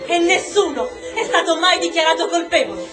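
An extremely high female voice over loud music as a relentless campaigner. She declaims with indignant, theatrical emphasis, challenging those in power. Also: expressive, brisk. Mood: grave, accusing. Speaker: actor. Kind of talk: declamatory Italian radio drama acting.

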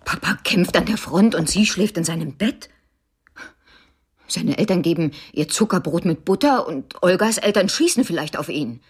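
An actress in a German radio drama playing a stern, weary wartime mother with a husky voice and a brisk, admonishing manner. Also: lowish voice, expressive.

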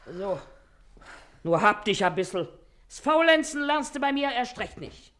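Bossy naggy german mum in a radio play, very animated up and down. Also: female voice, a little high, unhurried. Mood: scolding. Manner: nagging, blustery, colloquial. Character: bossy, scolding, shrewd working-class mother and wife.

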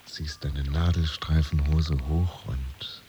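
An actor playing a bewildered, brooding jobless office worker in a musing, hesitant radio play monologue. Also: male voice, very deep voice, steady tone, takes it slowly, noisy background. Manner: musing, hesitant, addressing himself. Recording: slightly muffled, music underneath. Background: music.

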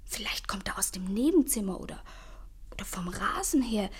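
An actress playing an affectionate but frightened thirty-year-old sister in a German radio play, with a breathy voice. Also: female voice, mid-range voice, animated, moderate pace. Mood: hushed, uneasy. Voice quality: breathy, hushed.

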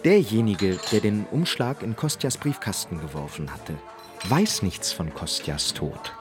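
An actor as the sober narrator of a radio crime drama, delivering scripted lines in a measured, terse way. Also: male voice, on the low side, sing-song, music in the background. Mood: grave, measured.

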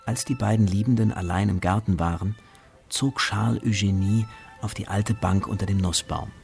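A man's voice: fairly low pitch, steady tone, moderate pace, vocal fry, a little mellow, soft background music. A man giving scripted literary narration in a radio drama.

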